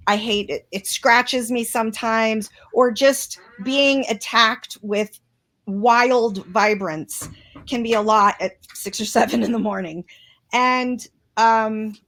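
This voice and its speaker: A woman's voice: on the high side, fairly bright tone. A woman guest in an interview, speaking earnestly and reflectively with many restarts. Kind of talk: interview conversation.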